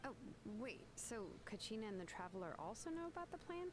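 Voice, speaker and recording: A woman voicing a gentle, earnest young adventurer in scripted video game dialogue. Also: a little high, very animated, brisk, background noise. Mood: thoughtful.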